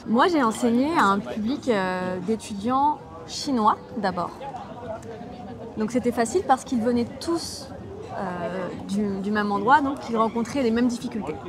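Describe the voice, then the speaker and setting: A woman in her twenties from Toulouse, a French teacher speaking as a guest in a relaxed interview, explaining in a clear, measured way. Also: fairly high pitch, a crowd in the background. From Toulouse, southwest France. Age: 20s. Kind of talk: casual conversational interview.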